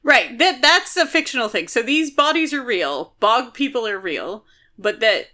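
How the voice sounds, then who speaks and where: A woman's voice: fairly high pitch, lively intonation, moderate pace, bright, forceful tone. A woman co-hosting a podcast, explaining things in casual book discussion, conversationally and peppered with "like". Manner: explanatory, conversational, frequent filler "like". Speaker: podcast co-host.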